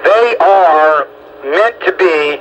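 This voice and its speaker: A man's voice: fairly high pitch, expressive, extremely slow, a bit bright. An American man in a casual political discussion, opinionated and insistent, often stammering and repeating words as he makes his case. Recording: dull lo-fi radio audio, faint noise.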